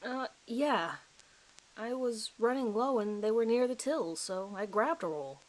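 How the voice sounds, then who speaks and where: A woman's voice: fairly high pitch, animated, measured pace, low-quality recording. A nervous suspect in his thirties a man as acted out by a woman gives his account in a dramatized police interview. He is hesitant and apologetic, rambling as he tries to recall details. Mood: defensive, unsure.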